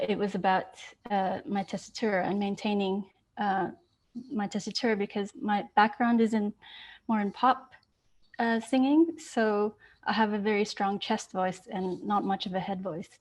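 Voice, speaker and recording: A woman who sings alto in a choir, politely asking a long, hesitant question in casual chat. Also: mid-range voice.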